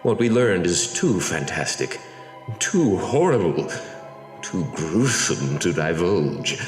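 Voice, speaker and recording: Guy doing a creepy grandiose radio horror announcer, slow and deepish, lots of echo, music behind. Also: big pitch swings, exaggerated voice. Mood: menacing. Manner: melodramatic, drawn-out. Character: sinister, grandiose male horror show announcer. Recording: echoing reverb, music underneath.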